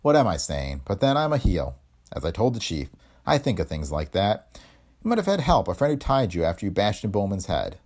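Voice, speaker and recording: A man's voice: on the low side, big pitch swings, brisk, a little mellow. A man voicing a cocky, sardonic, hard-headed investigator in a hardboiled detective story, wry and tough-talking. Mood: mocking. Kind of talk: hardboiled detective audiobook dialogue.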